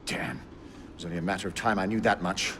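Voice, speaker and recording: A man's voice: low-pitched, fairly even intonation, a little fast, bright, forceful tone. A man playing a scheming, hot-tempered lord in a video game, with a haughty, commanding delivery. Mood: tense command. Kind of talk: video game cutscene acting. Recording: long hall reverb, noisy ambience.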